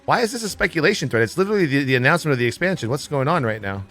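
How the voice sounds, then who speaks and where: A man's voice: a little high, animated, rapid-fire, fairly bright tone, faint music behind. A man giving casual, blunt, opinionated livestream commentary on gaming news, swearing freely as he goes. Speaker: livestream host.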